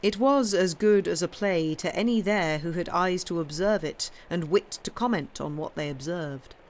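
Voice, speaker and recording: A woman narrating a Victorian novel as an audiobook reader, with expressive, measured storytelling and emphatic phrasing. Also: fairly low pitch. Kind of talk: literary narration of a Victorian novel, reading aloud.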